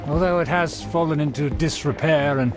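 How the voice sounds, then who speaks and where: A man's voice: fairly high pitch, normal speed, natural voice. An actor playing a proud, pompous nobleman in a video game, formal and haughty. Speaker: game character. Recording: game audio with music behind.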